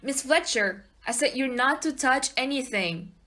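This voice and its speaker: A woman playing an excitable, gruff police inspector in an audio play, blustering and commanding. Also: high voice, a little slow, heavily acted. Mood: bossy, firm.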